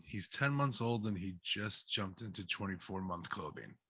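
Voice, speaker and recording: Low, bright, husky-voiced man chatting. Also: lively intonation, normal speed, no room sound. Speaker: guest author and hairdresser. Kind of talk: casual chat.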